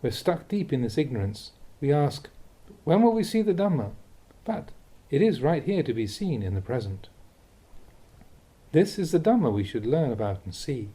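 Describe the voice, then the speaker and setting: A man reading a Buddhist teaching aloud as instructive narration, with measured, deliberate phrasing. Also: mid-range voice, sing-song, mellow, slightly rough. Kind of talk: read-aloud dharma talk, instructive narration.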